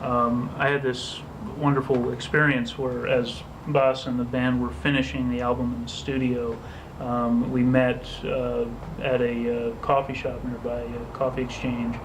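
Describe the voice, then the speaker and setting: Flat-toned man telling an anecdote. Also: mid-range voice, a little slow, few pauses, background noise. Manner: enthusiastic fan anecdotes. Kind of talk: casual storytelling. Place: outdoor concert venue.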